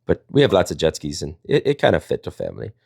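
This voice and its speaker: Rambling, animated man. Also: deep voice, quick pace, vocal fry, husky, no echo, studio quality. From Wisconsin, United States. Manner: rambling, jokey, playful. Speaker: storyteller and live panel host. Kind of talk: casual chat and storytelling.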